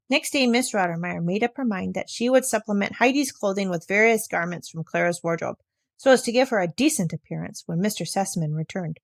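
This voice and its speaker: A woman's voice: mid-range voice, no echo, clean studio sound. A woman narrating a children's story, reading aloud from the written text. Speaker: audiobook narrator.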